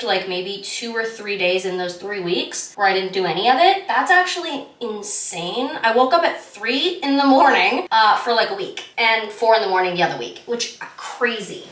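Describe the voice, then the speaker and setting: A woman's voice: medium pitch, bright, forceful tone, distant mic. A woman, chatting casually in a candid, rambling, slangy vlog style. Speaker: vlogger. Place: indoors at home, echoey room. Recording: roomy echo, away from the mic.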